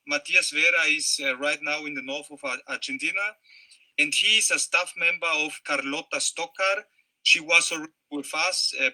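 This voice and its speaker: A male host opens an online show and welcomes a guest in a formal manner, with a few fillers along the way. His voice is slightly high and very bright, heard through a video call mic. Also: poor audio.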